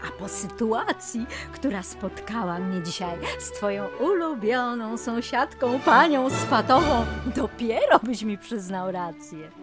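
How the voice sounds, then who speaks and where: A woman's voice: on the high side, expressive, a little slow, few pauses, over music. A woman playing a domineering, sardonic aunt who scolds theatrically in a radio comedy drama. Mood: scolding, sardonic.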